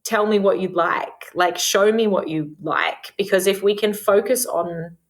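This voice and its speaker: A woman speaking as a guest sex therapist on a podcast, talking frankly about sex with lots of "like" fillers and a naturally loud voice. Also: medium pitch, a little slow. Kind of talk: casual podcast conversation.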